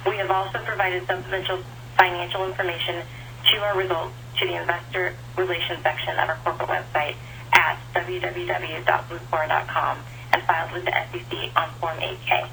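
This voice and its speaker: A woman reading a formal scripted statement in a measured way as an investor relations director, over a telephone conference line. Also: lowish voice, fairly even intonation, creaky, pushed and bright, slight background noise, rough recording. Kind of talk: formal scripted disclaimer reading on an earnings call.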